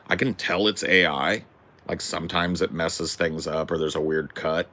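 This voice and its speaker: A man with a deep, distinctive voice talking in a casual, rambling way, opinionated and emphatic, swearing freely. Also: fairly bright tone. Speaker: host.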